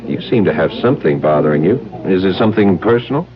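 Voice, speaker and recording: An easygoing American hero with a low, expressive voice. He has a wry touch in classic radio drama style. The recording is muffled. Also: quick pace, crowd noise. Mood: concerned. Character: easygoing, wry American man caught in intrigue. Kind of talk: 1970s radio drama acting.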